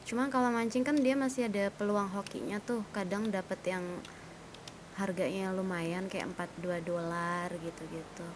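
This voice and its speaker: A woman's voice: medium pitch, fairly even intonation, moderate pace. A woman chatting casually and colloquially while playing an online roleplay game. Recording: clear background noise.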